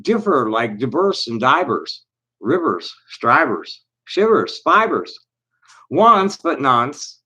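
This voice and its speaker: A man's voice: mid-range voice, sing-song, pushed and bright, husky. Rambling man hosting a livestream. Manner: halting and rambling, many restarts; reads aloud with deliberate slow pauses. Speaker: livestream host. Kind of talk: casual solo livestream chat.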